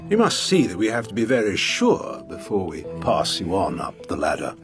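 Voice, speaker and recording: An actor playing an affable but probing British intelligence major in a radio drama, careful and officious. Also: male voice, low-pitched, huge pitch swings, normal speed, over music, heavily acted.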